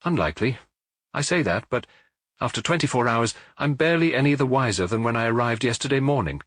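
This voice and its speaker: A man's voice: mid-range voice, lively intonation, fairly quick, fairly bright tone, dry recording, clean studio sound, voice pushed up. A man voicing a jovial, talkative middle-aged police chief superintendent in an audiobook. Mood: businesslike. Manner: hearty, talkative, reporting.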